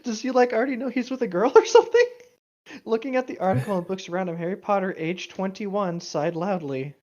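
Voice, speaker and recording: A podcast co-host chatting casually and reading a story aloud mockingly, blunt, exasperated and quick to swear. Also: mid-range voice, animated, a little mellow. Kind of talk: casual banter and mock fanfiction reading. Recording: dull, lo-fi microphone sound.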